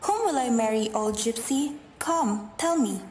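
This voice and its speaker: A woman's voice: on the high side, expressive, unhurried, darkish tone, slight background noise. A woman playing a bold, headstrong, teasing young girl in a radio drama. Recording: roomy echo, faint music behind.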